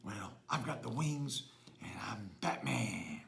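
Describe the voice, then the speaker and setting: A man's voice: medium pitch, lively intonation, slow, gravelly, fairly bright tone, pitched below the performer's own voice, heavily acted. A man doing a mumbling dopey wannabe hero. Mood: stubborn. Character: dopey male wannabe hero with bird wings.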